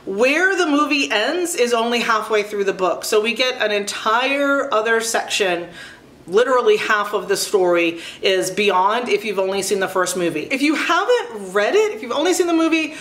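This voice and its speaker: A woman's voice: fairly high pitch, expressive, moderate pace, fairly bright tone, echoey room. A middle-aged woman giving casual book recommendations in a chatty, enthusiastic, emphatic way, as a video host.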